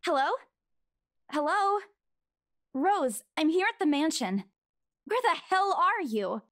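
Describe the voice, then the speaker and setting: A woman's voice: extremely high pitch, sing-song, slow, a bit bright, exaggerated voice. An actress playing a nervous young woman, a real estate agent, in a horror visual novel game, tense and questioning. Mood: worried, impatient.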